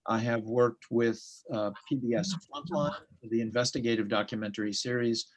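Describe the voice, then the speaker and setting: A man from the United States introducing himself as a documentary producer, measured and a little halting. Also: medium pitch, fairly even intonation. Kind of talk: formal self-introduction.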